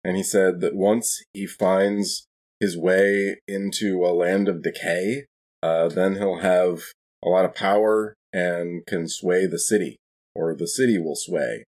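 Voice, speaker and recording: Low-pitched, husky, hesitant man at game. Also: steady tone, slow, a little mellow. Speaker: tabletop role-playing player. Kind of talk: casual in-character tabletop game talk.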